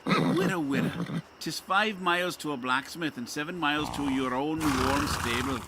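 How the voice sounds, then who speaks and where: A man's voice: a little high, moderate pace, heavy background noise, close to an everyday voice. A man playing a pious, curious and sly country priest with an Irish accent in an audio drama. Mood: fretting. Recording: music underneath.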